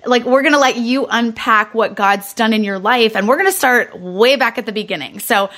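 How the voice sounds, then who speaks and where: Woman host, encouraging, slightly high voice, interview questions. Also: moderate pace, fairly bright tone.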